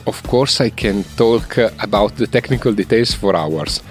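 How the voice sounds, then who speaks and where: A man's voice: fairly low pitch, expressive, normal speed. A man with an Italian accent, an executive and engineer, giving spontaneous interview answers. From Italy. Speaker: company CEO and engineer being interviewed. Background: music.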